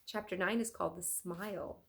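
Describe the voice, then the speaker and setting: A woman reading a children's story aloud as a teacher would, expressive and addressing young listeners. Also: on the low side, fairly quick.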